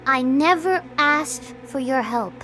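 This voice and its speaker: A woman's voice: high-pitched, animated, slow, sharp tone, slight background noise, heavily acted. A woman playing a hurt, combative young streamer in an audio drama, talking in a sarcastic, confrontational way. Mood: indignant.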